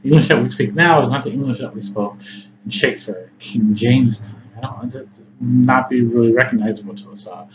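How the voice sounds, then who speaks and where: A man's voice: mid-range voice, brisk. A man with a Chicago accent, an English tutor giving an informal lesson to camera, often checking in with "right?" and "okay?". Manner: conversational, frequent "right?" and "okay?" check-ins, many ums. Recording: slightly dull lo-fi audio with faint noise.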